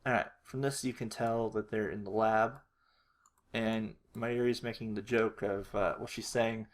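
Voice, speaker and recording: A man's voice: lowish voice, steady tone, unhurried. A man narrating a casual tutorial, hesitant and unrehearsed, thinking aloud as he works. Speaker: animator, video creator.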